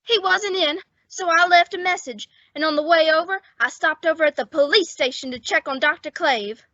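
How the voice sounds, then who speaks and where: A woman's voice: very high-pitched, moderate pace, fairly bright tone, no echo, highs cut off. A woman playing an earnest, literal-minded sidekick in an old-time radio comedy.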